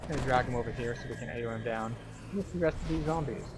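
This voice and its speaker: A man's voice: mid-range voice, fast talker, music in the background. A man giving casual, step-by-step commentary as he guides viewers through a video game. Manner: explanatory, step-by-step.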